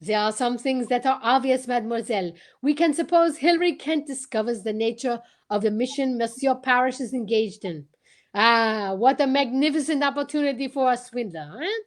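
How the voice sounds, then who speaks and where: A woman playing a courteous, self-assured male detective, theatrical and deliberate, in a radio play. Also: fairly high pitch, close to an everyday voice. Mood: dramatic, confident. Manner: theatrical, deliberate, tag-questioning. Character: courteous, self-assured male French-speaking detective explaining his case. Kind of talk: amateur old-time radio play reading.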